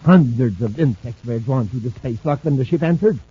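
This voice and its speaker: A curious, anxious scientist doctor who keeps asking questions in a very husky, breathy voice. The sound is tinny and narrow, like an old radio broadcast heard through a phone. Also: male voice, mid-range voice, animated, a little fast, warm tone. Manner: inquisitive, questioning. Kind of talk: 1950s radio drama acting.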